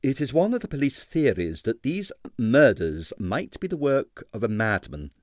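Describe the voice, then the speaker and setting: A man voicing a dry, glib police inspector with measured questioning, in an audiobook. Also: medium pitch, very animated, no room sound, natural voice. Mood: probing. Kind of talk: audiobook character voice.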